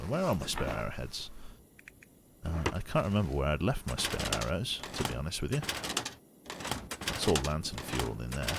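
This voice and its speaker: Low-voiced man narrating his gameplay. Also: gravelly, very noisy. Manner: chatty, muttering his actions aloud as he plays. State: slightly sniffly, congested. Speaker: streamer. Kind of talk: casual gameplay commentary.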